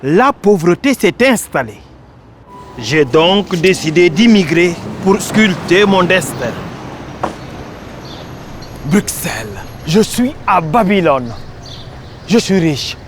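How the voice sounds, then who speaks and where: A man performs a theatrical monologue, declaiming each phrase with dramatic pauses. His voice sits high for a man, and he takes his time, letting lines land slowly. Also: very animated, a little hiss or hum. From Senegal, Casamance. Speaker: actor.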